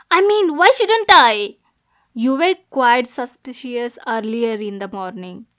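Casting: woman to play jealous yandere girlfriend for ASMR roleplay; high voice, slow delivery, menacing yet cooing, possessive. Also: heavily acted. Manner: swings between soft cooing and angry, degrading outbursts. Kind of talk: ASMR roleplay, dramatic monologue.

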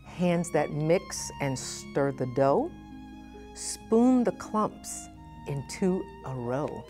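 A middle-aged woman presenting a children's storytime, speaking expressively and with deliberate phrasing for young listeners. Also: on the low side, huge pitch swings, dark tone, soft background music. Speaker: guest storytime reader, nonprofit president. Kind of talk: children's picture book read-aloud. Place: indoor storytime set at a library.

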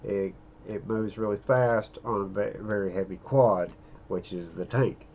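A man's voice: lowish voice, a little slow, muffled recording, a little hiss or hum. A middle-aged man giving a casual, plain-spoken review of drone parts outdoors, speaking close to a handheld camera. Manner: relaxed, plain-spoken hobbyist talk with fillers. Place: outdoors in an open field.

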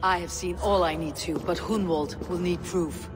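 A woman playing a stern, battle-hardened Viking warrior in a video game, blunt, measured and commanding. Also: on the low side, fairly even intonation, natural voice. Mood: grave, blunt. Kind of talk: video game cutscene acting. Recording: reverberant hall sound under music.

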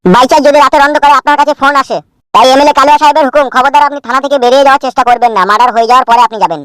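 Man putting on very high-pitched smug cartoon henchman. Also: steady tone, rapid-fire, fairly bright tone, no echo. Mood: smug, bossy. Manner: mocking, bossy. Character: smug, teasing male henchman of a corrupt politician. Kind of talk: comic cartoon dialogue.

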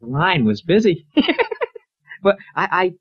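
A muffled 1940s radio recording of a scrawny, nervous barfly lush. The voice is rough and leaps around in pitch. He stammers and wheedles, breaking into giggles. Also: very animated, measured pace, heavily acted. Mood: wheedling, giggly. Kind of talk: 1940s radio drama acting.

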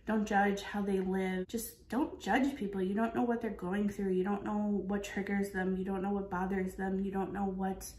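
A woman's voice: mid-range voice, little pitch movement, normal speed, hardly any pauses, a little mellow. A woman in her twenties talking casually to camera as a vlogger, in an earnest way with emphatic repetition. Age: 20s. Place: kitchen of an apartment, indoors. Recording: echoey indoor room.

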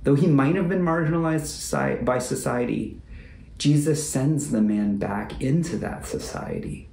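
A man in his forties preaching a reflective sermon as a pastor, speaking in a measured, deliberate way and repeating phrases for emphasis. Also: mid-range voice, warm tone. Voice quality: gravelly, creaky. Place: book-lined home office or study, indoors. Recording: roomy echo, faint background noise.

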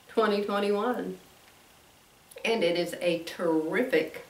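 Older woman, sixties, devotional host, slightly stuffy, unhurried, distant roomy sound. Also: fairly high pitch, fairly bright tone. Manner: chatty, laughs often, drawn-out emphasis. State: stuffy with allergies, wheezy. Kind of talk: casual devotional talk. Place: room with a quilt backdrop. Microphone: camera at a distance, standing at a lectern.

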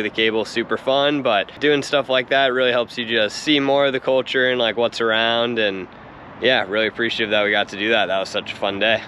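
A man's voice: medium pitch, sharp tone, a little hiss or hum. A man in his twenties talking casually and upbeat to a handheld action camera outdoors at a rocky beach, with lots of slang. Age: 20s. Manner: upbeat, slangy, laid-back. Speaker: vlogger. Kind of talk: casual travel vlog talk.

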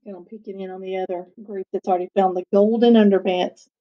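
Playful teacher reading aloud to kids. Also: female voice, medium pitch, quick pace, highs cut off. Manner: playful, expressive, chatty asides to children. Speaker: second-grade teacher. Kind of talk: reading a picture book aloud. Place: small attic room at home. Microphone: webcam at desk.

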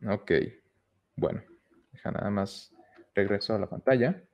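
A young man giving a casual technical talk at an online meetup, pausing often with fillers and restarts, recorded on a headset mic in a small room. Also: lowish voice, fairly even intonation, muffled recording. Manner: hesitant, many fillers and restarts. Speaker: meetup speaker. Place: small room with whiteboard, indoors. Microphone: headset with boom mic.

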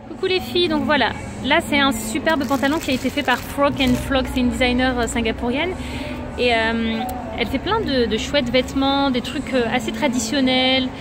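A woman's voice: high-pitched, normal speed, over music. A woman in her thirties, vlogging to a phone at arm's length, chatty and full of hesitations as she walks through a busy bazaar. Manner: chatty, lots of hesitation fillers. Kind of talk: casual vlog chat to camera. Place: busy indoor bazaar hall, later a city bus. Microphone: phone held at arm's length. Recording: loud crowd and traffic noise.

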